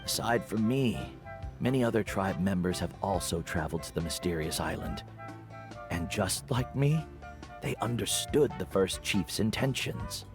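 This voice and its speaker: Casting: seasoned game mentor, low expressive voice, principled and earnest, over loud music. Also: male voice. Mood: measured, earnest. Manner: measured, earnest, explanatory. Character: measured older male guide and mentor, wise, principled. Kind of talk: scripted video game voice acting.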